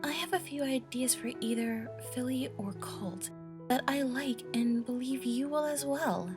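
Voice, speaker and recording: A woman as a high-voiced gentle pony mare. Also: a little slow, music in the background. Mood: calm, reassuring. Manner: soothing, kind. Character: gentle, reassuring young pregnant pony mare. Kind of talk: dramatic story reading.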